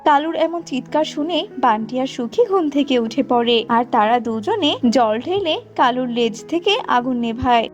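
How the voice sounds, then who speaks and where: Very high-pitched woman storyteller. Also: expressive, moderate pace, hardly any pauses, lo-fi sound, soft background music. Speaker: narrator. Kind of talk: scripted cartoon storytelling narration.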